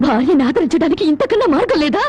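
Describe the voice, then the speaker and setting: A woman's voice: very high voice, very animated, rapid-fire. An actress playing a young wife who bitterly reproaches her gambling husband, sarcastic and tearful, in a film melodrama. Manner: sarcastic, reproachful, breaks into tears.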